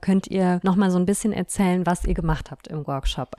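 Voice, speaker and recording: A woman reporter who asks gentle questions and reads scripted narration. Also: fairly low pitch, a little fast, no echo, clean studio sound. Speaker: reporter, interviewer.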